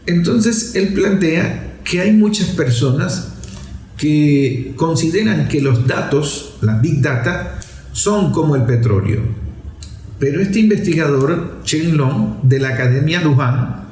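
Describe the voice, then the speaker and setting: A man giving unscripted political commentary as a show host, didactic and deliberate, repeating phrases for emphasis. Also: on the high side, animated, a little mellow, a little hiss or hum. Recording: echoey room sound.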